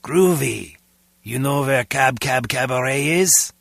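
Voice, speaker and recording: A man's voice: medium pitch, slow, creaky, fairly bright tone, dry recording, studio quality. An actor playing a petty, comical henchman guard who talks colloquially in a video game. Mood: laid-back.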